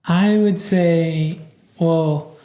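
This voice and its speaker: A man hosting a casual podcast at home. His voice sits fairly high for a man but sounds mellow and soft-edged. He talks easygoing and repeats short phrases. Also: fairly even intonation, drawn-out words. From Texas. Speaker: podcast host. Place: his parents' house.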